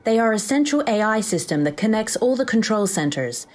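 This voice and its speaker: A woman playing a brisk, dry-witted AI assistant in a comic sci-fi audio drama. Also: mid-range voice, lively intonation, darkish tone, natural voice. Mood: brisk, informative. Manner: crisp, dry, businesslike.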